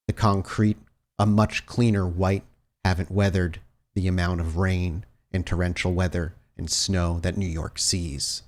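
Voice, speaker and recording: A man's voice: deep voice, darkish tone. A man working as game master, narrating a tabletop game in dramatic, cinematic scene-setting descriptions.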